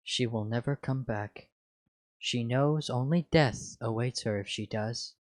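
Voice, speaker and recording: A man reading as a slow, contemptuous female AI. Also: mid-range voice, dry recording, lightly acted. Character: bitter, contemptuous female artificial intelligence left alone. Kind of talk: dramatic fan fiction reading.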